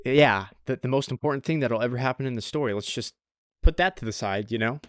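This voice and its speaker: A man speaks as a podcast co-host in a dry, close-sounding space. His voice sits at an ordinary pitch, and he chats casually, repeating short phrases to press his point. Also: fast talker, no echo. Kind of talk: casual anime review chat.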